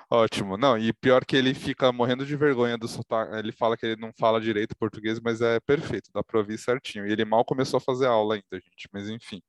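A man's voice: medium pitch, fairly even intonation, quick pace, dry recording. A man hosting a podcast, introducing guests and asking questions in a chatty, casual way with frequent fillers. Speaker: podcast host.

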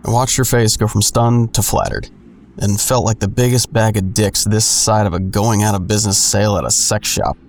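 A man's voice: fairly low pitch, little pitch movement, normal speed, a little hiss or hum, natural voice. An actor playing a sardonic, nosy man who narrates his own misadventures in an audio drama with wry, self-deprecating, crude humor. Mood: wry, confiding. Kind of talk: first-person scripted narration.

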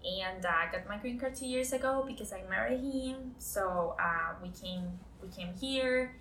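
A woman's voice: medium pitch, unhurried. Young woman, roomy distant sound. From Costa Rica. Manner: excited, chatty. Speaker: interview guest, first-time mother. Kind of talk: casual personal storytelling. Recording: roomy, distant mic, faint noise.

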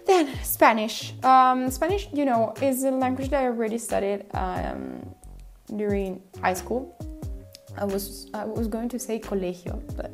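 A young woman talking casually about her language study goals, relaxed and chatty with frequent laughter and fillers. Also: a little high, a little slow, soft background music. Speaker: language learning podcaster.

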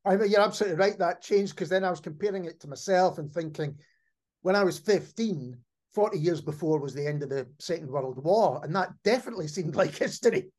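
A man's voice: sits high, lively intonation, brisk, darkish tone. A middle-aged man hosting a conversational podcast interview, reflecting on answers and putting questions to a guest.

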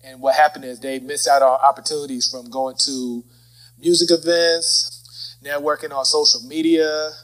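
A man with a slightly high voice hosts a relaxed, conversational interview. He speaks informally, like someone swapping encouraging advice with a guest. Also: normal speed, poor audio. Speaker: podcast host interviewing a guest. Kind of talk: casual phone interview.

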